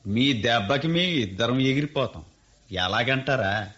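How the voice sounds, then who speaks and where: A man's voice: medium pitch, animated, a little slow, fairly bright tone. An actor playing a shrewd, boastful rural landlord who mocks his visitors and orders his servant around. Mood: mocking. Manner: mocking, boastful. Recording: dull lo-fi radio recording.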